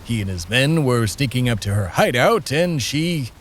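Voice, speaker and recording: A man plays a grandiose, unflappable immortal who personifies America in a comedy audio drama. He relays wild family history in a dry, matter-of-fact tone and lets a pause hang before each punchline. His voice sits at an ordinary middle pitch for a man. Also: lively intonation, slight background noise, close to an everyday voice. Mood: deadpan. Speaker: co-host character.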